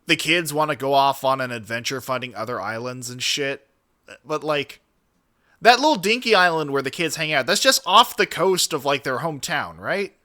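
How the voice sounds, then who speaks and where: Very bright-voiced man reacting casually. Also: a little high, animated, moderate pace. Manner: profane, rambling. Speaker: streamer.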